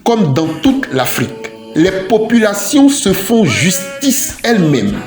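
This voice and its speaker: A man's voice: high voice, huge pitch swings, slow pace, darkish tone. A man from Côte d'Ivoire delivers scripted opinion commentary in an emphatic, declarative way, repeating phrases in lists. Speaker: civic commentator. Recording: roomy echoing voice track with music. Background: music.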